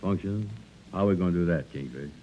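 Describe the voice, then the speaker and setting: An actor playing an agreeable, slow-witted business sidekick with folksy grammar in a 1940s radio comedy. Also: male voice, sits low, close to an everyday voice. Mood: slow, puzzled. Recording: muffled 1940s radio recording.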